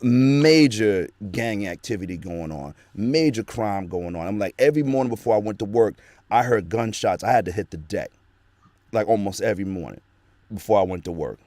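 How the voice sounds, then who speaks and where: A man's voice: lowish voice, animated, normal speed, a little mellow, no room sound. A man telling his story in a casual interview, earnest and rambling with lots of fillers, into a home studio microphone. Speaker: guest, actor and voice actor.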